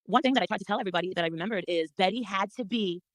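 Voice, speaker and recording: A middle-aged woman in a casual, gossipy chat, telling her story in a blunt, confrontational, animated way and swearing freely. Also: mid-range voice, rapid-fire, dry recording.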